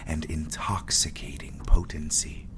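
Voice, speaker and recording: A man's voice: very deep voice, level tone, a little slow, in a whisper. A man narrating scripted gothic horror with grave, ornate storytelling. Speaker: narrator, game master.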